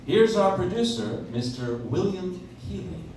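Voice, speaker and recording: A man's voice: high-pitched, very animated, measured pace, darkish tone. An actor playing a polished, enthusiastic 1940s radio announcer, delivering a live commercial in an emphatic salesman's manner. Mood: promotional. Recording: echoey distant audience recording, faint noise.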